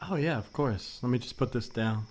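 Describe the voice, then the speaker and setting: A man's voice: mid-range voice, a little fast, natural voice. A man playing an earnest, wonkish libertarian in improvised comedy, explaining things in a pedantic manner. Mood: friendly. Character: earnest, wonkish anarcho-capitalist reading economics on park bench. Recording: noisy remote recording.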